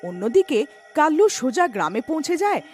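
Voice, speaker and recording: A woman's voice: high-pitched, sing-song, fairly quick, faint music behind. A woman narrating a scripted cartoon story.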